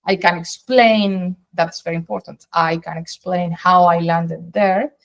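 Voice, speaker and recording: A woman's voice: lowish voice, steady tone, unhurried, vocal fry. Colombian woman, emphatic and explanatory. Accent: Colombian Spanish. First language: Spanish. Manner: emphatic, explains in long flowing runs with rephrasings. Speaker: guest, data science executive. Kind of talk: interview answers, conversational.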